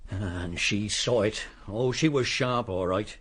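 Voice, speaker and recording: A man's voice: lowish voice, slow, fairly bright tone, husky, heavily acted. Defensive, grudging little man. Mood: resentful, confessing. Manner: blunt, defensive. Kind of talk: British radio detective drama.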